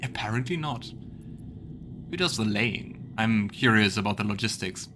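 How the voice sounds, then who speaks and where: A man's voice: fairly low pitch, lively intonation, normal speed, fairly bright tone, slight background noise. A man hosting a let's play, commenting casually and reading dialogue choices aloud.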